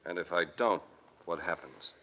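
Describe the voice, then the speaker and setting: Deep-voiced, wry American radio detective. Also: male voice, normal speed. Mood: wry, unruffled. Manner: deadpan, sardonic, dry understatement. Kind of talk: 1940s radio detective acting and narration. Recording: vintage radio recording with light hiss.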